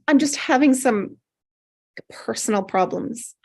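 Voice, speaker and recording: A fairly high-pitched female doctor, played by a woman at a table read, anxious and flustered. She speaks apologetically, as a figure in a TV drama script. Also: lively intonation, measured pace, gravelly, darkish tone, slightly rough, full character voice. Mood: flustered, apologetic. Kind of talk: acted script reading.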